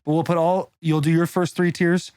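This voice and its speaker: Higher-voiced American podcast host guy. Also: flat delivery, moderate pace, a little mellow, clear, resonant tone, no echo, studio quality. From Arizona, United States. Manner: profane, slangy bro talk. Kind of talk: casual chat and conversational ad reads.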